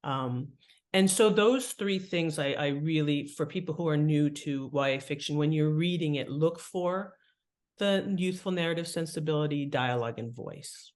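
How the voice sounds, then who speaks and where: A woman speaks as an interview guest at a middling pitch. She explains her points in an animated, conversational way, like an author talking through her craft. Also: normal speed. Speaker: guest, fiction writing author.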